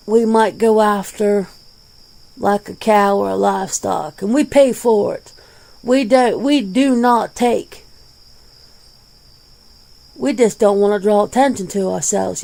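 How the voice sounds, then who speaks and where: A woman voicing a laid-back, plain-spoken werewolf in an ASMR interview roleplay, talking in an unhurried, folksy, casual way. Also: mid-range voice, creaky, warm tone. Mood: easygoing, earnest.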